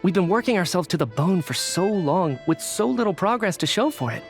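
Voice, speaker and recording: A man's voice: high-pitched, a little fast, soft background music. A man playing a weary, affable government researcher in a video game, polite and somewhat hesitant. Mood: flustered, evasive. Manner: polite, hesitant, sighing, expressive.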